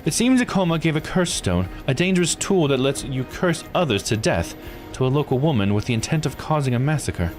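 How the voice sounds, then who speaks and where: A polite, formal investigator from a visual novel, voiced by a man speaks in a raised, expressive pitch. The delivery is precise and composed, with music playing behind it. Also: brisk, hardly any pauses, close to an everyday voice. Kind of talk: voicing visual novel dialogue.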